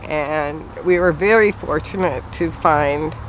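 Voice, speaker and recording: An older man, a retired preschool director, looking back in an interview at an unhurried pace with frequent pauses and fillers. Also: fairly high pitch, a bit bright, slight background noise, rough recording. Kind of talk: reflective interview. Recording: music bed underneath.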